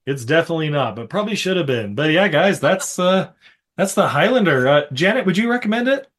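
A man hosting a casual movie-review podcast, talking loudly and playfully with frequent laughs. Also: fairly high pitch, big pitch swings, fairly quick. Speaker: podcast host.

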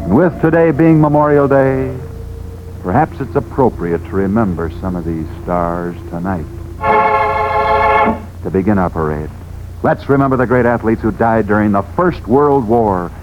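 A man's voice: lowish voice, normal speed, music in the background. Dull old radio recording, theatrical American man. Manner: emphatic, theatrical, repetitive build-up. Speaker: radio sports storyteller, host. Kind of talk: 1940s dramatic radio narration.

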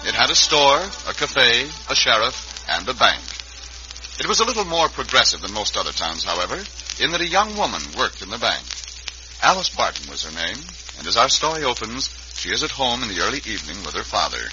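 A man's voice: mid-range voice, sing-song, a little fast, background noise. A man narrating a Western story as an announcer in scripted old-time radio style. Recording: dull old radio recording over music.

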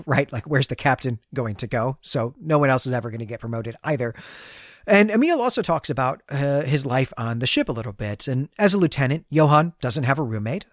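A man's voice: mid-range voice, no room sound, studio quality. A man co-hosting a podcast, giving a conversational, explanatory recap of a story. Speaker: podcast co-host. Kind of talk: conversational literary recap and discussion.

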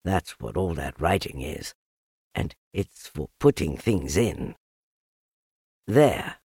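A cheerful, simple-minded storybook bear, as a man reader puts him on. The voice is low and gravelly, explaining things in an earnest, unhurried way. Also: big pitch swings, darkish tone, no room sound, studio quality, exaggerated voice. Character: kindly, simple, cheerful male toy bear.

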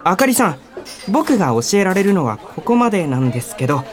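An actor playing an earnest, polite young office junior in a Japanese radio drama, easily exasperated. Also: male voice, high voice, very animated, fairly quick. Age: young adult.

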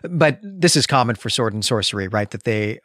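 A man's voice: medium pitch, animated, fairly quick, a bit bright, no echo, studio quality. A middle-aged man hosting a podcast, talking casually about books in a digressive, self-correcting, enthusiastic way. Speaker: podcast host. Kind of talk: casual literary discussion.

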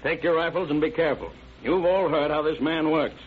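An actor playing a stern, methodical sheriff in an old radio crime drama, speaking in a measured, formal, authoritative way. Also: male voice, on the high side, lightly acted. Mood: commanding. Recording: tinny, noisy old radio recording.

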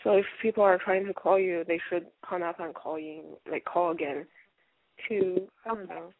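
Hoarse, hesitant woman caller on phone. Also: fairly low pitch, steady tone, normal speed, dry recording. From China. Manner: hesitant, filler-heavy, giggly. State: hoarse from bronchitis. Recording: tinny phone line, dry.

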